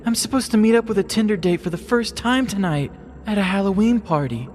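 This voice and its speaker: A woman's voice: mid-range voice, animated, brisk, a little mellow, a little hiss or hum, natural voice. Woman playing a desperate, eager potion customer. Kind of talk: scripted Halloween podcast skit.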